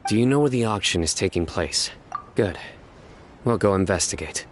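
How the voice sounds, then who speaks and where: An actor playing a stern, stoic young male investigator in a video game, speaking in a solemn, deadpan, formal way. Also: on the low side, moderate pace, a little hiss or hum, natural voice. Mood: solemn, measured. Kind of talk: scripted video game voice acting.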